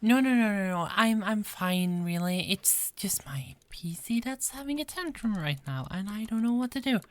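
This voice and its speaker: A woman's voice: mid-range voice, lively intonation, moderate pace, close to an everyday voice. A woman playing a frazzled but friendly police detective in an ASMR roleplay, with a hesitant, stammering delivery that often repeats words. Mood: flustered. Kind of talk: ASMR roleplay, one-sided dialogue.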